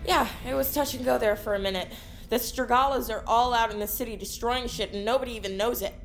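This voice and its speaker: A woman's voice: on the high side, quick pace, soft background music, close to an everyday voice. An actress playing a sardonic, foul-mouthed, tough young woman in an audio drama.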